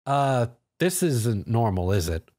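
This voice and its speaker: Man as a wry young hero, slightly husky. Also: mid-range voice, big pitch swings, takes it slowly, a little mellow. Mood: uneasy.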